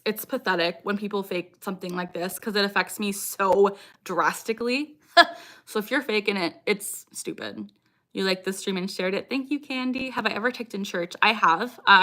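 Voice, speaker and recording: Bright-voiced young woman chatting on livestream. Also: mid-range voice. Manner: sudden repeated vocal tics interjected into talk. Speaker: livestream host, content creator. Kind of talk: casual livestream Q&A chat, answering viewer comments.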